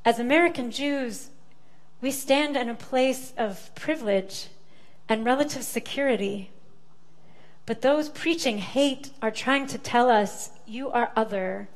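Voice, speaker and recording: Slow, measured woman preaching a sermon. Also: fairly high pitch. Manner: measured, deliberate delivery with weighty pauses. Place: large sanctuary, indoors.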